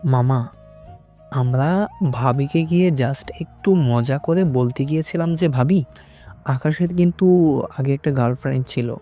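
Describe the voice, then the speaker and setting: A man's voice: medium pitch, a little slow, long pauses, mellow, lightly acted. A man playing a young, teasing and dramatic friend of a groom in an audio story. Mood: shaken, complaining. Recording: music behind the voice.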